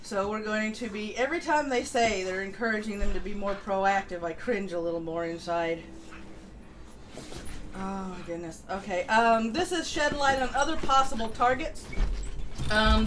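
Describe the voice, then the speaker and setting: A woman's voice: fairly high pitch, fairly bright tone, poor audio. A woman hosting a political livestream, reading through news with casual, opinionated commentary. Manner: informal, opinionated, swears casually. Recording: noticeable background noise.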